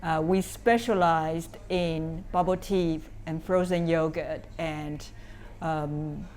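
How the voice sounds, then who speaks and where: Lowish-voiced woman with a Taiwanese accent. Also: steady tone, slow pace, a little mellow, slight background noise. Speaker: interviewee, cafe owner. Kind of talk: informal explanatory interview talk.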